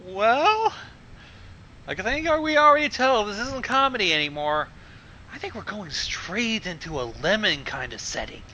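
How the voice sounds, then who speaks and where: Brief: slow-talking sarcastic co-host, lively pitch, crude jokes. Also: male voice, a little hiss or hum, low-quality recording. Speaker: co-host commenting on the reading. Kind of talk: casual joking commentary.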